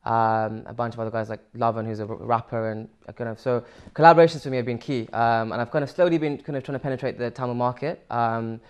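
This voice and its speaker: Fast-talking, smooth-voiced young man. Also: medium pitch, steady tone. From Sri Lanka. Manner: fluent with many fillers and restarts. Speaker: musician guest. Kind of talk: casual interview answers.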